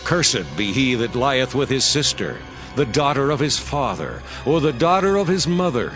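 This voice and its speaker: Casting: male actor for stern Bible-drama priest, medium pitch, animated declamation over clear music. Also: normal speed, lightly acted. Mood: stern condemnation. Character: stern Levite priest pronouncing curses. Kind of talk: dramatized King James Bible reading.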